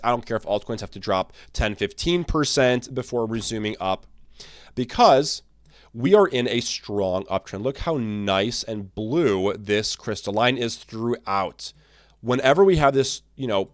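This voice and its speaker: A man hosts his own market commentary show, his voice a touch raspy from a long day of talking. His delivery is energetic and lively, with pitch swinging widely as he pushes through his points. The sound is dry and close, with little room around it. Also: dry recording. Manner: energetic, animated, restarts and fillers. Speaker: crypto channel host. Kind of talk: casual market commentary to camera.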